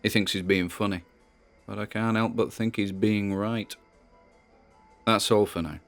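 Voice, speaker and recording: A man's voice: on the low side, steady tone, a little slow, soft background music. A man playing a nervous, wry grandson who records audio logs, in a conversational, musing audio drama monologue.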